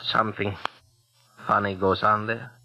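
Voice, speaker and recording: An actor playing a big, jealous butcher in an old radio drama, speaking in terse, halting, heavy phrases with quiet menace. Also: male voice, fairly low pitch, little pitch movement, lo-fi sound. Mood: menacing. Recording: vintage radio recording, faint music.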